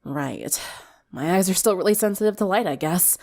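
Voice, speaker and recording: A woman's voice: on the low side, expressive, moderate pace, a little mellow. A woman voicing a stubborn, nonchalant teenage schoolgirl turning vampire in a story reading. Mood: weary, dismissive. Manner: nonchalant, brushing off worries.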